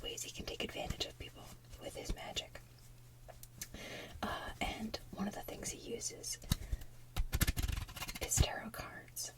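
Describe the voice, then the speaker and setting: A woman hosting an ASMR video, speaking in a soft, breathy, unhurried whisper. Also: fairly high pitch, far from the mic. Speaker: ASMR channel host.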